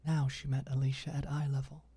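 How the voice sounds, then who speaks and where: A woman reading scripted ASMR audiobook fiction softly and intimately, with deliberate pauses. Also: extremely low pitch, little pitch movement, slight background noise. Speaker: audiobook narrator.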